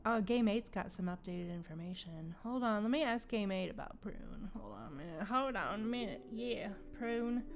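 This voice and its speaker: A woman's voice: mid-range voice, normal speed, hardly any pauses. A woman hosting a gaming livestream, chatting casually and informally with her viewers, sometimes swearing. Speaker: livestream host playing a video game. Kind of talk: casual gaming stream chat. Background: game music.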